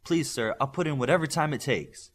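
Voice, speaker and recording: A man's voice: medium pitch, fairly quick, voice pushed up, natural voice. A man reading an audiobook as an eager, earnest young male superhero trainee who tends to plead. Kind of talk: audiobook character dialogue.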